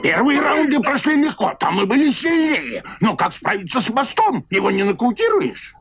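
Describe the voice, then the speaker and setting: A man's voice: very high voice, sing-song, moderate pace, gravelly, soft background music, exaggerated voice. A man voicing a worried forest hero in a cartoon film dub. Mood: anxious. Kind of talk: animated film dub in Russian. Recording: dull old film soundtrack.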